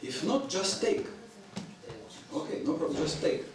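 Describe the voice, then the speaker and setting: Man preaching in english, not his first language, clears his throat a lot, very echoey hall, crowd around. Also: a little high, sing-song. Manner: halting, with frequent throat clearing and fillers. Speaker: visiting preacher. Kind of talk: devotional lecture. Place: temple hall. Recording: echoey temple hall, mic at a distance, audience heard.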